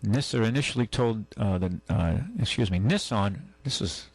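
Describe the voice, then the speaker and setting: Male talk-radio host, deep and gravelly, loose halting delivery, close dry studio sound. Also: animated, darkish tone, dry recording. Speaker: radio call-in show host. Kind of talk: casual talk radio, reading news and chatting. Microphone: close studio microphone.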